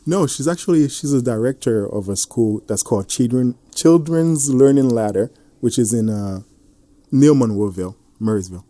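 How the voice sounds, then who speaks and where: Soft-spoken Haitian man, mellow voice. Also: medium pitch, normal speed, no room sound. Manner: soft-spoken, laughs easily. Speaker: guest author. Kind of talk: casual radio interview.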